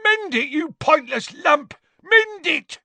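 A man's voice: high-pitched, wildly swooping pitch, a little slow, fairly bright tone, no room sound, pitched above the performer's own voice, full character voice. A man voicing a belligerent, sneering old wizard father in an audiobook, bellowing and jeering. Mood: furious.

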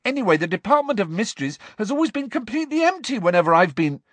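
Audiobook role: insistent, fiery teenage boy wizard, played by a man, raised pitch, swinging intonation, close dry sound. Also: sing-song, normal speed, husky, no echo, heavily acted. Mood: angry, shouting. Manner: loud, urgent, shouting when challenged. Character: frantic, hot-tempered teenage boy wizard, urgent and insistent. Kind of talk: audiobook character acting.

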